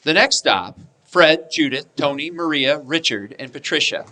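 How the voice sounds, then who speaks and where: A man's voice: fairly high pitch, lively intonation, normal speed, bright, forceful tone. A man delivering scripted narration for a radio play.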